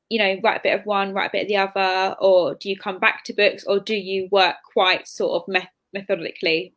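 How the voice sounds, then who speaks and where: A woman's voice: mid-range voice, a bit bright. A woman in her twenties hosting a casual interview over a video call, asking chatty, rambling questions. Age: 20s. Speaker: host, interviewer. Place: plain room indoors.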